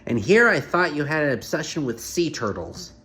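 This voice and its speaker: A man giving comedic reaction commentary with sarcastic, deadpan quips. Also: fairly high pitch, normal speed, a little hiss or hum.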